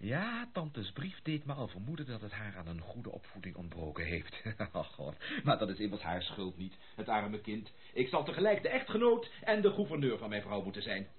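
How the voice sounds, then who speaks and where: Through a thin, phone-like old radio recording comes an eager young gentleman bachelor. His delivery is theatrical and very lively, swinging widely in pitch. The voice is slightly husky and the phrasing genteel and exclamatory. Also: moderate pace, hardly any pauses. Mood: amused, determined. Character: eager young gentleman bachelor, excitable, amused, curious about his bride. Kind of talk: Dutch radio play acting.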